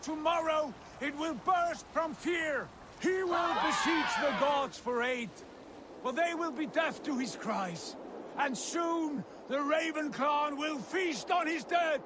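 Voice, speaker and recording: An actor playing a proud, bold Viking prince in a video game, with a grand, declamatory delivery. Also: male voice, extremely high pitch, slow pace. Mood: rousing. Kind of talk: video game cutscene acting. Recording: clear water and outdoor ambience noise.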